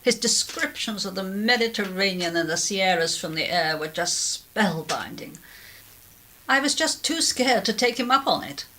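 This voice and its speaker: A woman's voice: medium pitch, expressive, moderate pace, sharp tone, a little hiss or hum. An actress playing a genteel, regretful middle-aged clergyman's wife in a 1930s radio drama, speaking in a formal, hesitant way. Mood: wistful.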